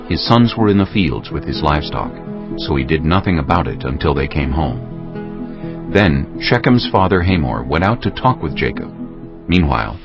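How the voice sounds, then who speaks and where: Casting: male narrator for dramatized scripture, very low voice, expressive range, solemn and measured. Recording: dull sound, clear music bed.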